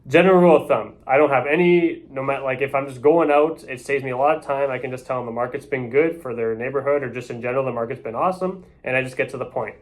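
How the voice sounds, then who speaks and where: A man who coaches others in real estate sales, talking quickly and freely as if straight off the top of his head. His pitch sits in the middle range for a man. He keeps checking in with his listeners with a quick "right?" after his points. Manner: loose, off-the-cuff, often ends points with "right?". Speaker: real estate agent teaching door knocking. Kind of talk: casual sales coaching talk.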